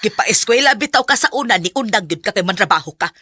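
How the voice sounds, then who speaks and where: An actress with a Visayan Filipino accent playing a husky, exasperated mother in a radio drama. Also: medium pitch, lively intonation, fast, sharp tone, no room sound, studio quality. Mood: scolding. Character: scolding, exasperated mother of a grown daughter. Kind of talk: Cebuano radio drama acting.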